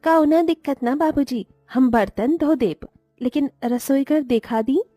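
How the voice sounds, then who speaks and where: A woman's voice: sits high, measured pace, mellow, dry recording, faint music behind. A woman voicing a humble young village daughter-in-law in a cartoon, deferential and rustic, with a Bhojpuri accent. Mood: meek, pleading. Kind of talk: Hindi cartoon moral-story voice acting.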